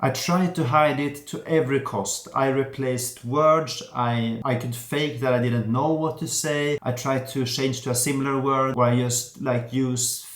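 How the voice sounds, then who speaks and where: A young man from Sweden, speaking calmly and thoughtfully about himself, stammering with repeated sounds and restarts. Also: mid-range voice, unhurried, hardly any pauses. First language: Swedish. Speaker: vlogger sharing his stutter. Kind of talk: personal reflective talk to camera. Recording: roomy echo.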